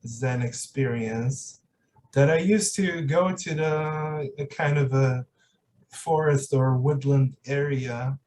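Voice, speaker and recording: A man's voice: medium pitch, slow, a little mellow, recorded from a distance, a little hiss or hum. A man hosting a podcast in casual, reflective conversation, hesitant and searching for his words. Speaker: podcast host.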